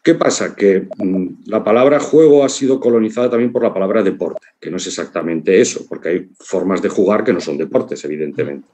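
Man, fifties, accent from Spain, lowish pitch, dark relaxed tone, reflective halting talk, video-call presenter. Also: slight background noise. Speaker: congress speaker, writer and philosopher. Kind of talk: informal conference talk. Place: living room at home, on a video call.

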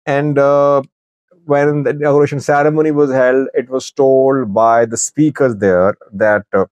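Guy in his 40s doing news commentary, really slow n halting, dry close sound. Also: medium pitch, steady tone, darkish tone, dry recording, rough recording. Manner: halting, deliberate delivery with pauses mid-sentence. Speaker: news commentator, channel host. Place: small wood-panelled office, indoors.

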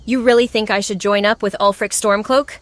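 A woman's voice: mid-range voice, fairly even intonation, fairly quick, natural voice. A woman's synthetic voice playing a brash, blunt, sassy, foul-mouthed adventurer in a fantasy game. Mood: curious. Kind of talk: AI-voiced game character dialogue.

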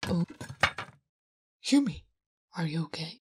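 A woman voicing a big, strong but gentle and naive orc warrior woman in an ASMR roleplay, halting and soft-spoken, half-whispering in simple broken speech. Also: lowish voice, lively intonation, background noise. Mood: earnest, bashful.

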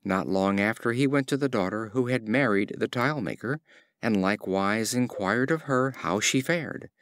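A man narrating fables as an audiobook reader, in an expressive storytelling style. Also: on the low side, normal speed, dry recording, clean studio sound.

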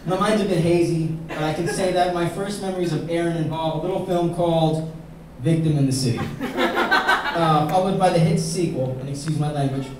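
Young man, high voice, wedding toast, echoey banquet hall, heard from far off. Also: noisy background. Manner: joking, self-mocking, pausing for laughs. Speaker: best man. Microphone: handheld mic, filmed by a far camera.

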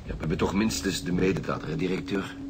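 An actor playing a gruff, bullying, impatient chief inspector in a radio drama, blunt and commanding, with a Flemish accent. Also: male voice, low-pitched, steady tone, quick pace, background noise, close to an everyday voice. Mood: cynical.

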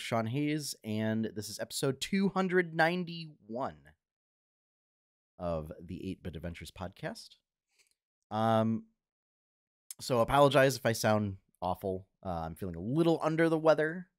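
Man, thirties, podcast host, a bit husky with a cold, low voice, dry close headset sound, halting with fillers. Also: lively intonation, no room sound. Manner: halting, many fillers and restarts. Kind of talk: casual gaming news podcast. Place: home room full of collectibles.

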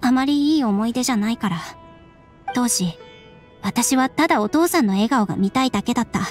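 A woman's voice: fairly high pitch, expressive, soft background music, natural voice. Woman acting resigned, wistful young woman in anime game. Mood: wistful, hurt. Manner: measured, wistful, serious. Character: subdued, resigned young woman, estranged daughter of strict scholar.

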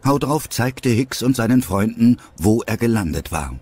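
A man's voice: medium pitch, animated, moderate pace, a little mellow, soft background music. A man narrating a scripted adventure story as an audio drama.

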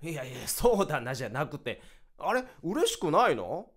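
Excitable, high-voiced startup vice president, male. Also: moderate pace. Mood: puzzled, concerned. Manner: exuberant, chatty, swinging from cheers to concern. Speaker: radio drama actor. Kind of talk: radio drama acting, casual dialogue.

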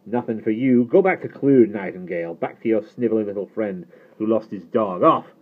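A man's voice: fairly low pitch, animated, normal speed, narrow, tinny audio, pitched above the performer's own voice. A man voicing a pompous, hot-tempered Welsh farmer in a storybook, scornful and barking. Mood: suspicious, hostile. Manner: scornful, barking, inverted sentence order.

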